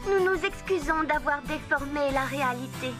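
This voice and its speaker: Woman voicing an eager, high-pitched teenage cartoon girl. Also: moderate pace, loud music behind the voice, close to an everyday voice. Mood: apologetic. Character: earnest, eager teenage girl rider at a ranch. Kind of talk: French-dubbed children's cartoon acting.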